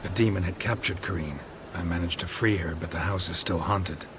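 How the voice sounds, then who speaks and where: An actor playing a gruff, terse, world-weary monster hunter in a video game, deadpan. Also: male voice, sits low, brisk, noisy background, natural voice. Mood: matter-of-fact. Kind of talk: video game character acting.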